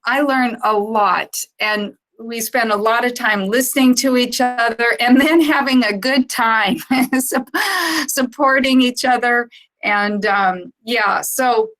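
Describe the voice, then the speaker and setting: A woman giving an informal, unscripted presentation on an online conference call, speaking in a halting, reflective way as an organisation's founder. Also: a little high, fairly bright tone, rough recording.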